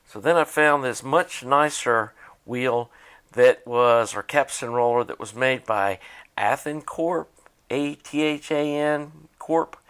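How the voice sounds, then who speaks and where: A man's voice: mid-range voice, takes it slowly, fairly bright tone, clear, resonant tone. A man giving a casual, unscripted narration of a repair, thinking aloud in a plainspoken way as he works. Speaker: hobbyist demonstrating a tape deck repair.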